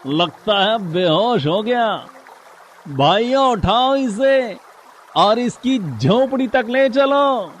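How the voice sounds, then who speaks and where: A man's voice: very high-pitched, expressive, takes it slowly, slight background noise, exaggerated voice. Male VO: worried cartoon villager, urgent calling. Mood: alarmed. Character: concerned male village fisherman.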